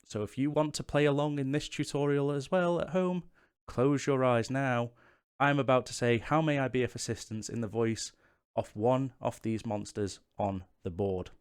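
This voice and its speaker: Guy doing a casual how to play video, chatty, normal pitch, pokes fun at himself. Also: moderate pace, darkish tone, smooth. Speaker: host of a board game tutorial channel.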